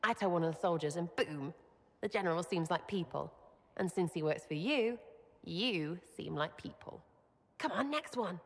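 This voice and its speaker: A woman's voice: fairly low pitch, lively intonation, normal speed, creaky, husky, big-room sound, heavily acted. A woman playing a mischievous, blunt young elven rebel in a video game, with an English accent and a cheeky, slangy, irreverent way of talking.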